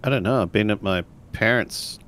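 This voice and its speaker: A man's voice: lowish voice, normal speed, a bit bright, a little hiss or hum. A man improvising casually as a player in a tabletop roleplaying game.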